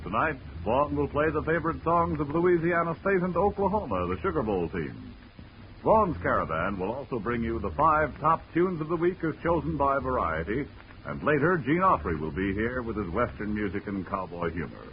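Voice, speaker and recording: Male radio announcer, formal, lively pitch, old broadcast, dull sound. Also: normal speed, slight background noise. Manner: formal, declamatory.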